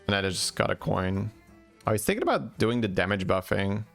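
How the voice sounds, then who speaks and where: Gravelly man, casual commentary. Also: lowish voice, fairly quick, soft background music. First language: Dutch. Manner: relaxed, chatty, answers chat questions. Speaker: game commentator.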